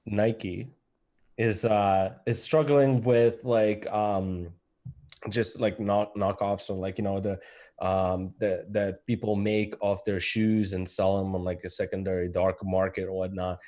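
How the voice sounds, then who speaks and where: A man with a Ukrainian accent talks through the news in a loose, halting way, full of "you know" and "um". His voice sits on the low side. He sounds like a co-host on a casual crypto podcast. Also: level tone, dark tone. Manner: halting, many fillers and restarts. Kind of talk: casual panel discussion.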